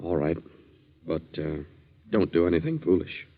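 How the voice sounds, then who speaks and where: An actor playing a smooth-talking, sponging gambler brother with an American accent in a 1940s radio drama, casual and persuasive. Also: male voice, low voice, sing-song, moderate pace, exaggerated voice. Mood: solicitous. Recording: muffled 1940s radio recording.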